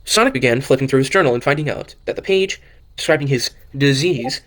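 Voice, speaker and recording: A man's voice: medium pitch, animated, a little fast, a bit bright. A man narrating a fan audio drama as an amateur, often stumbling over and repeating words as he reads. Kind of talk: amateur story reading.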